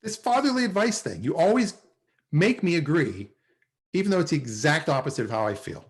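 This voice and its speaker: A man's voice: on the high side, big pitch swings, fairly quick. A man playing a lovesick, wisecracking, anxiously hopeful sitcom character in a table read over a video call. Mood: exasperated, anxious.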